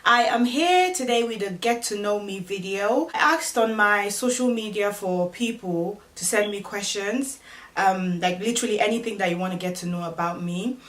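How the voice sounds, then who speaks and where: A woman's voice: mid-range voice, moderate pace, fairly bright tone, reverberant, far from the mic. A woman in her twenties from Cameroon, speaking English with a Cameroonian accent, casually reading viewers' questions aloud and answering them in a vlog. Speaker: vlogger.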